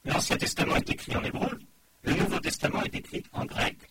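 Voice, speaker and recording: A middle-aged man preaching a sermon in a measured, explanatory way, often quoting other voices. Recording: loud background noise.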